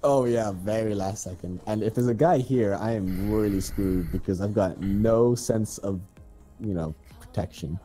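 A man's voice: lowish voice, moderate pace, warm tone, faint music behind. A young man hosting a co-op gameplay video, chatting playfully and giggling with his friend. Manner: playful, giggly, squeals for fun. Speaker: gaming channel host. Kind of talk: casual co-op gameplay commentary.

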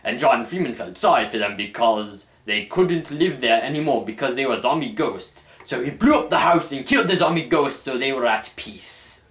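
A young man reads aloud like the host of a dramatic storytelling show. His mid-range voice rises and falls in an animated, mock-formal way. The sound is roomy, picked up by a camera mic in a home room. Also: medium pitch, normal speed, hardly any pauses. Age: young adult. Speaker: host reading fan fiction aloud. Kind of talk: mock-dramatic scripted narration. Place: small indoor room at home.

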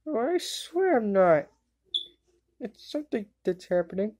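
A woman voicing a jokey, excitable young boy wizard in a cartoon fan dub, cracking wisecracks and yelping exclamations. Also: fairly high pitch, measured pace, slight background noise.

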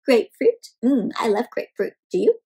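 A young woman reading a picture book aloud to children, animated and acting out the characters while asking the kids questions. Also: fairly high pitch, fairly quick, creaky, breathy edge. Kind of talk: interactive read-aloud for kids. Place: home room with decorated wall.

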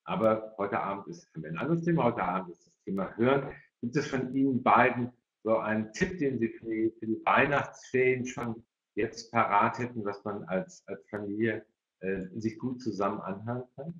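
A middle-aged or older man moderates a video-call discussion. He speaks haltingly, often doubling his words. The sound is roomy and somewhat muffled. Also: fairly low pitch, a bit bright. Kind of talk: hosting an online parents' evening discussion. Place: indoor room, video call.

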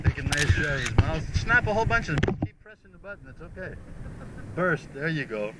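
Excitable man fishing on a windy shore. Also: a little high, fairly quick. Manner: exclamatory, swears, directs the photographer. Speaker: fishing vlogger. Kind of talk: excited outdoor narration. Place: rocky seaside shore outdoors. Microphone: action camera on the body. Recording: loud wind and sea noise.

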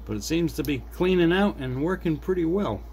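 A man's voice: on the high side, lively intonation, brisk, a little mellow. Middle-aged man thinking aloud, noisy background. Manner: unscripted, thinking aloud while working. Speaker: hobbyist repair video maker. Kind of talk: casual hands-on repair demonstration, talking to viewers. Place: indoors at a desk workbench. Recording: background noise, room ambience.

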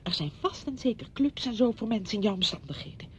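An actress in a Dutch radio play, playing a brisk, patronising woman who fusses chattily over a widowed friend. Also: animated, darkish tone, close to an everyday voice. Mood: concerned, defensive. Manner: chatty, fussing, condescending. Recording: dull radio recording with rumbling noise.

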